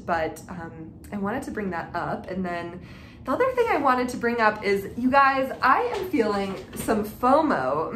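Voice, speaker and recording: Female hobby podcast host, medium pitch, informal and chatty, self-interrupting, roomy home-style recording. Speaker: knitting and reading podcast host. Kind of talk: casual chat to viewers. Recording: echoey room with faint background noise.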